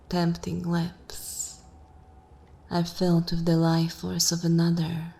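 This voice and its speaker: A woman's voice: fairly low pitch, mellow. A woman voicing a seductive, imperious vampire mistress in an ASMR fantasy roleplay, commanding and coldly mocking, sometimes dropping into a whisper. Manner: slow, commanding, theatrical, breathy whispering at times.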